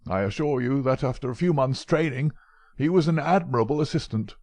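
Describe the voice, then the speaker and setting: A man's voice: medium pitch, animated, fairly quick, a little mellow, dry recording, voice pushed up, close to an everyday voice. A man voicing a frail, fussy old male professor who speaks in a precise, mincing way. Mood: fussy, lamenting.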